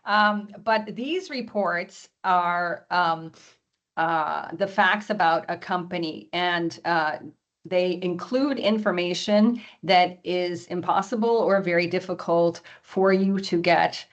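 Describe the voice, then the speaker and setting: A hoarse woman giving a webinar presentation over a video call, often clearing her throat and using many fillers. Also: lowish voice, slow, dull audio. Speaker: export presenter.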